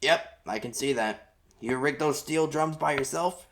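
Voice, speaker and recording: A man puts on a kindly old fishing captain for a storybook. He speaks slowly and without hurry, lifting his voice a little as an aged boatman would. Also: fairly high pitch, a bit bright, slight background noise, voice pushed up. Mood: calm, concerned. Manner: slow, unhurried speech. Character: elderly kindly fishing captain, slow-talking.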